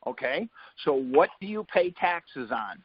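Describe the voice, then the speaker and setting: Male host, husky, slightly high, rambling and self-correcting, on a dull muffled call line. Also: big pitch swings, measured pace. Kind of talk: casual explanatory talk. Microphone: phone line. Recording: muffled phone-call audio.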